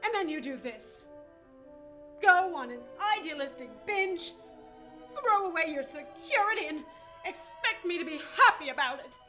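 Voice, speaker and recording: Actress as practical, reproachful girlfriend in radio drama: high, animated voice, faint music behind. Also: normal speed, exaggerated voice. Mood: exasperated, pleading. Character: sensible, exasperated woman doubting her idealistic sweetheart. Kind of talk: stage-like radio play acting.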